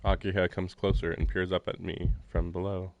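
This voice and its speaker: A man's voice: low voice, flat delivery, moderate pace, darkish tone, highs cut off. A man live streaming a visual novel, reading its text aloud with casual, informal commentary. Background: faint game music.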